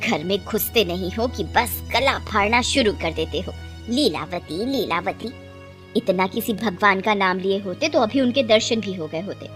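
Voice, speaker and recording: A woman's voice: medium pitch, expressive, moderate pace, over music, pitched below the performer's own voice, natural voice. A woman voicing a lazy, defiant young village wife in a moral story, scolding and sarcastic. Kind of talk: dramatised Hindi moral story.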